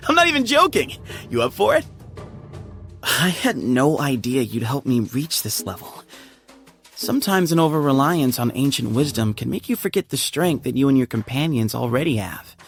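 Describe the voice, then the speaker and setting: A man's voice: medium pitch, very animated, fairly bright tone, faint music behind. An actor voicing an easygoing, playful young man who leads a desert temple, recorded on a studio mic. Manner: playful and chummy, quick to laugh. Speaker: game character voice actor. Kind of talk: video game character voice lines. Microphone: studio microphone. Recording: dry studio booth.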